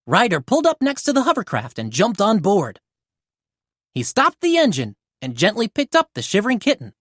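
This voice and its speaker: A woman narrating a children's storybook aloud in an animated read-aloud style. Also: medium pitch, normal speed, no echo, studio quality. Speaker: storybook reader.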